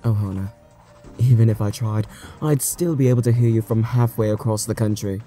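A man's voice: lowish voice, steady tone, fairly quick, dark tone, soft background music, natural voice. A man voicing an irritable, spiteful, sarcastic man in a webcomic dub, speaking curtly. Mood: scornful. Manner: curt, sardonic. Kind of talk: dubbed webcomic voice acting.

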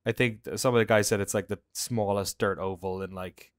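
Clear-voiced Irish man chatting. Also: fairly low pitch, steady tone, a little fast, no room sound, clean studio sound. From Ireland. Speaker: podcast co-host. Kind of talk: casual chat, anecdotes. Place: indoor room at home on a video call.

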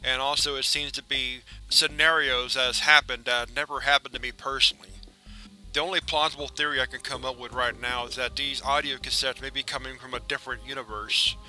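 Male narrator, very bright, sharp tone, mid pitch; matter-of-fact agent dictating a personal audio log, music underneath. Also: rough recording.

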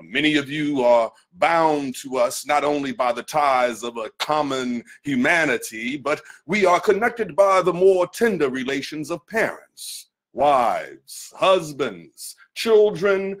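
A man's voice: a little high, a bit bright. A man reading a historical address aloud in a solemn, declamatory, oratorical style. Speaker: reader of a historical speech.

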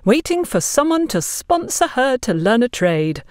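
A woman's voice: fairly high pitch, very animated, measured pace, a little mellow, no echo, clean studio sound, pitched above the performer's own voice. A woman voicing an overbearing, gossipy, smugly condescending woman in an audio story, talking down to others in an effusive way. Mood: bossy, exasperated. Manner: bossy, effusive, talks down to others.

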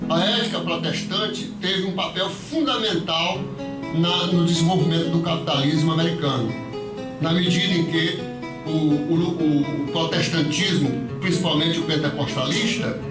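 A man's voice: on the high side, moderate pace, over music. An older man from Brazil giving a philosophical lecture, seated at a table in a hall, hesitant with many restarts and fillers. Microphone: far camera. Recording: roomy hall taken from far off.

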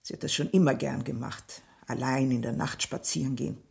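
Creaky-voiced, guarded village mother. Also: extremely low pitch, steady tone, brisk, darkish tone, exaggerated voice. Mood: reproachful. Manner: terse, evasive, defensive. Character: guarded, uneasy village mother, grieving daughter's murder. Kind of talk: German radio play acting.

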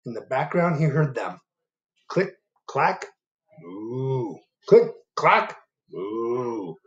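A middle-aged man reading a picture book aloud to children at home on a webcam, animated and asking them questions. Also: medium pitch, drawn-out words, reverberant, dull audio. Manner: animated, asks the young audience questions. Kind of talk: children's storytime read-aloud. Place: home living room. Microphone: webcam at desk.

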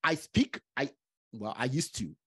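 A middle-aged man from Nigeria, hosting a podcast from a home study with a studio mic, speaking deliberately with stuttering restarts. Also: mid-range voice, lively intonation, sharp tone, husky. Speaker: podcast host. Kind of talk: casual interview chat. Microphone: studio mic with headphones.

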